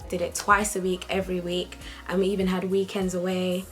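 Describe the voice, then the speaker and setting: A woman's voice: on the low side, fairly quick, faint music behind. Chatty young woman vlogging. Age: young adult. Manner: chatty, lively and upbeat. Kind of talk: casual talk to camera.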